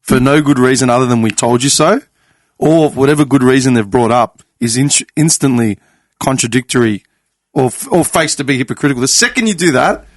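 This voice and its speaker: A man's voice: medium pitch, a little fast, no echo. A man in his late thirties hosting a podcast in casual conversation, blunt and teasing, swearing freely. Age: 30s. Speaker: podcast host. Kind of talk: casual chat. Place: podcast studio.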